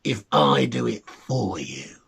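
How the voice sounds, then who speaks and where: Casting: male reader as small, crafty storybook imp, sly bargainer with slow, swooping delivery. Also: extremely low pitch, very animated, vocal fry, a bit bright, husky, pitched below the performer's own voice, full character voice. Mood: sly, bargaining. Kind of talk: storybook character reading.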